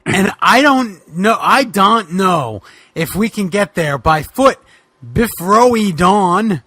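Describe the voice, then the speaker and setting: A man playing a haunted, urgent bogeyman wrestler in a hammy, halting comic reading. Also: sits high, lively intonation, bright, forceful tone, no room sound, heavily acted. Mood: urgent, troubled.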